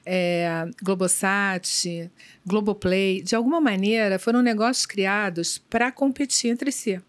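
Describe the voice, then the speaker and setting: A middle-aged woman executive answering interview questions in a measured, thoughtful way, pausing often with fillers. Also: mid-range voice. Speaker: business executive guest. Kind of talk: conversational podcast interview.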